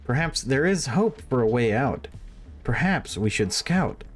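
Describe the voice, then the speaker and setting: A man's voice: medium pitch, very animated, a little slow, soft background music, pitched above the performer's own voice. A man voicing a timid yet resentful young data analyst, brooding and polite, in a first-person visual novel read-aloud. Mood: timid, cautious.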